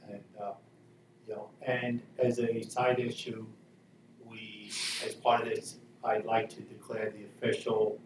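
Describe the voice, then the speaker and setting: A man chairing an informal village meeting, talking in a halting, matter-of-fact way. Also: fairly low pitch, little pitch movement. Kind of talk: informal council meeting discussion. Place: meeting room, picked up from a distance. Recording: echoey room, distant mic, faint noise.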